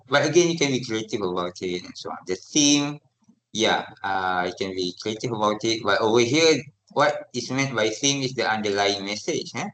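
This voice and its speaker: Lecturer, man, malaysian english accent, middle-aged, lively classroom talk, keeps checking if students follow. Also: lowish voice, a little hiss or hum, low-quality recording. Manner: lively, asks the class for replies often. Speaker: university lecturer.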